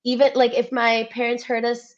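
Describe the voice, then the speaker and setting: A woman's voice: fairly high pitch, little pitch movement, normal speed, highs cut off. A woman in her twenties, a Spanish native speaker, chatting as a podcast guest in a bubbly way, laughing and searching for words. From United States. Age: 20s. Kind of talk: casual chat.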